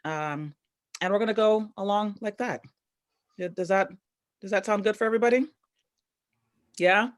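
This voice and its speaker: A woman's voice: medium pitch, normal speed, pauses often. A woman hosting a live online storytelling event over a video call, upbeat and chatty with loose, rambling phrasing. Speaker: host.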